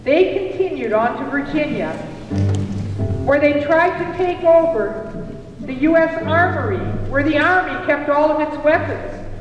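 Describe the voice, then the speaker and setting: A woman storytelling theatrically in a puppet show, with a dramatic, deliberate and expressive delivery. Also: sits high, dull audio, over music. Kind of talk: theatrical live storytelling, addressing a puppet companion. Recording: very echoey hall, distant mic, faint noise.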